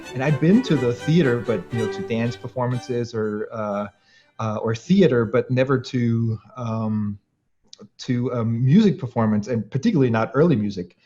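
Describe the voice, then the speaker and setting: A man in his late thirties from Austria, a harpsichordist answering interview questions in a thoughtful way, with many fillers and restarts and frequent light laughter. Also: medium pitch. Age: late 30s. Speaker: guest harpsichordist. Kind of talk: interview conversation.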